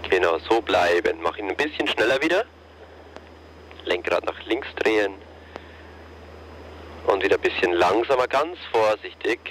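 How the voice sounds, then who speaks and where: Calm man coaching over a radio. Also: lowish voice, normal speed, pauses often, a little hiss or hum, poor audio. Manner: calm, encouraging step-by-step instructions. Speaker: paragliding instructor. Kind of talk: radio coaching of a pilot in flight.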